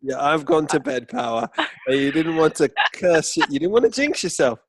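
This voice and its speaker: A man's voice: a little high, animated, normal speed, a little hiss or hum. A man co-hosting a football podcast, telling stories in theatrical, mock-indignant, sweary banter. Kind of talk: comic storytelling banter.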